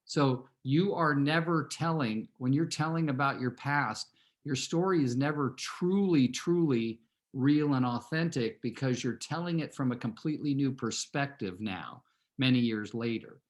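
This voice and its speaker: A man in his sixties from California, speaking at a middling pitch as he tells his team a long personal story over a video call. He rambles through anecdotes with plenty of fillers and restarts, and keeps turning to speak straight to his listeners. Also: measured pace. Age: 60s. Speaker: real estate team leader addressing his team.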